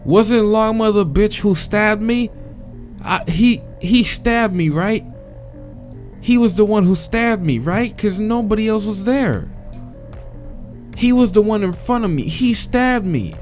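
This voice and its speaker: Man putting on a high-pitched, sassy, indignant character. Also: animated, slow, darkish tone, soft background music. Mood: indignant, shocked. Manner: sassy, crude, repetitive exclaiming.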